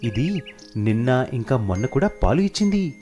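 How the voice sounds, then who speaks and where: A man's voice: mid-range voice, sing-song, moderate pace, dark tone, close to an everyday voice. A man voicing a confident, plain-spoken young animal doctor in a cartoon story. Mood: assured, firm. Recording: music behind the voice.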